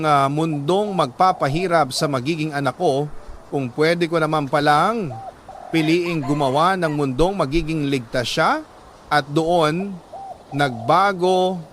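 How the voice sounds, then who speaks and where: A Filipino man reading a dramatic story in an earnest, emotive way with weighty pauses. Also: on the high side, fairly bright tone, slight background noise. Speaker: storyteller reading a listener's letter. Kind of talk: dramatic scripted story narration.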